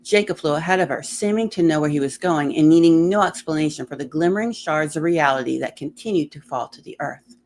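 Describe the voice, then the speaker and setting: Low-voiced woman reading fiction, noisy recording. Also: brisk, darkish tone. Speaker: author reading a horror story aloud. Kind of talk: dramatic audiobook-style narration.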